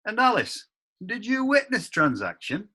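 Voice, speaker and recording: A woman playing a stern male magistrate in a period courtroom radio play, questioning witnesses briskly. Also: mid-range voice, wildly swooping pitch, sharp tone, slightly rough, full character voice. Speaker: judge. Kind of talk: amateur radio play acting.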